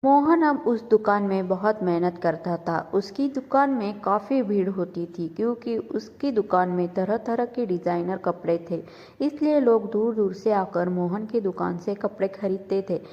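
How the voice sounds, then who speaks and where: A woman's voice: mid-range voice, moderate pace. Female narrator for scripted cartoon moral tales, mid-range voice, lo-fi sound in a big reverberant space. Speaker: story narrator. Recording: dull, lo-fi sound with long reverb.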